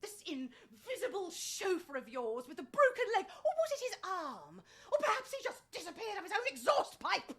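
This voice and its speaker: Actress as a haughty businesswoman, extremely high voice, dramatic swoops, sarcastic, emphatic, radio comedy. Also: wildly swooping pitch, moderate pace, few pauses, a bit bright, exaggerated voice. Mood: scornful, sarcastic. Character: sharp-tongued, proud female introduction agency boss. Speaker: actor in a radio play. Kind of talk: theatrical radio comedy acting.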